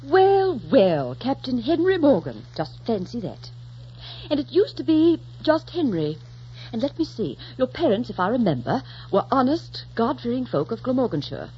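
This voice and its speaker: A woman's voice: a little high, very animated, normal speed, husky, exaggerated voice. Coy, teasing lady, lilting, old radio. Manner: playful, cool, gently mocking. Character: poised, teasing young noblewoman, unafraid and coy. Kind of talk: 1940s radio romance acting. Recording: dull, noisy 1940s radio recording.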